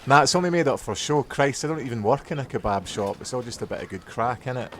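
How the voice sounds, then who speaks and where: A man's voice: mid-range voice, expressive, fast, a bit bright, a little hiss or hum, natural voice. A man playing a jokey, grumbling sailor in a comedy audio drama. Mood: casual.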